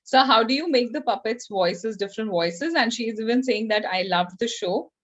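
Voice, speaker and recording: A woman's voice: on the high side, expressive, normal speed. A woman hosting a live online event, politely relaying questions from children to a guest. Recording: dull lo-fi online call audio.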